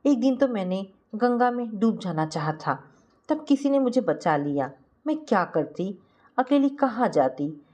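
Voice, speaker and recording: A woman's voice: mid-range voice, big pitch swings, a little slow, a little mellow. A woman voicing a humble, wronged young woman who speaks with plaintive resignation in a story. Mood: anguished, resigned.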